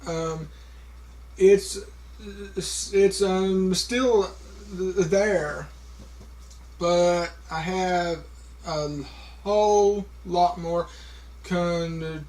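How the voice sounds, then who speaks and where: Slow man with a heavy stutter. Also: high voice, fairly even intonation, a little mellow. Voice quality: heavy stutter with repeated sounds and blocks. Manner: slow, halting, many fillers. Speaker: vlogger. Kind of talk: casual talk to camera.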